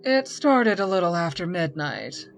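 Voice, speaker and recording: A man's voice: high voice, sing-song, a little slow, creaky, faint music behind, heavily acted. A man doing a shaken female charge nurse.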